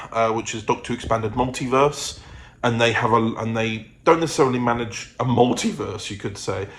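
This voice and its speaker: A man chatting casually to his vlog audience in a rambling, self-interrupting way. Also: mid-range voice. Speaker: vlogger and audio drama producer. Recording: noticeable background noise.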